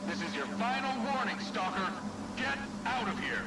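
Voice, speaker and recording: A stern, officious facility guard in a video game. His voice is fairly high, curt and commanding. Clear background noise runs behind him. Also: animated, normal speed. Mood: threatening. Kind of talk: video game dialogue acting.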